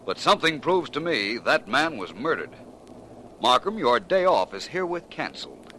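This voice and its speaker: An actor playing a suave, self-assured private detective in 1940s radio drama style, speaking with precise, urbane wording. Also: male voice, mid-range voice, very animated, normal speed, pushed and bright. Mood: confident, probing. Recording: noisy vintage 1940s radio recording.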